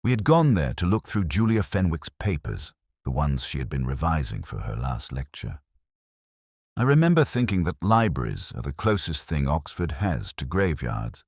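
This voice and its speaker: A man reading scripted literary detective fiction as narrator, in a measured, formal and brooding manner. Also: very deep voice, big pitch swings, slightly rough, no echo, clean studio sound.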